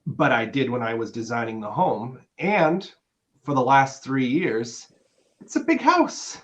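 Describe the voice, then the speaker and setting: A man playing an affectionate, gently pressing boyfriend in a naturalistic script reading, conversational and a little hesitant. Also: medium pitch, very animated, unhurried.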